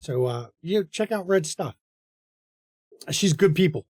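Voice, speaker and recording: A man's voice: a little high, lively intonation, unhurried, darkish tone, no room sound, clean studio sound. A man with an American accent hosting a game stream in a casual, chatty style. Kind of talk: casual chat and stream outro.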